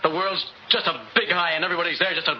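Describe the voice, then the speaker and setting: A man's voice: high-pitched, rapid-fire, lightly acted. An actor playing a plain-spoken, morally indignant working man in a 1960s radio drama, speaking in earnest, colloquial phrases. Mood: indignant, accusing. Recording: muffled, hissy old radio recording.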